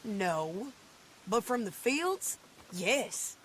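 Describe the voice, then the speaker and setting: Tough, commanding frontier woman, bright and forceful. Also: mid-range voice, big pitch swings, very slow, a little hiss or hum, exaggerated voice. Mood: determined. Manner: blunt, commanding. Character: fierce, determined frontier widow gunwoman. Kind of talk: video game cutscene acting.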